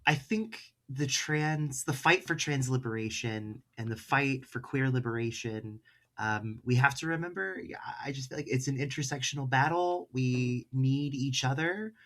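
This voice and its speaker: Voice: medium pitch, normal speed, fairly bright tone. A podcast guest chatting casually in an informal, slangy, exclamatory way.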